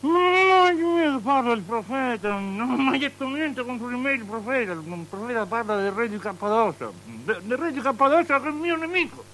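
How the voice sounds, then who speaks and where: An actor playing a capricious, lustful, superstitious tetrarch in avant-garde radio theatre, declaiming and lurching between outbursts and breathy murmurs. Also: male voice, very high voice, sing-song, normal speed, few pauses, fairly bright tone, full character voice. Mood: agitated boasting. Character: capricious, lustful, superstitious male tetrarch, unstable and self-pitying. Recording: noisy, humming old radio drama recording.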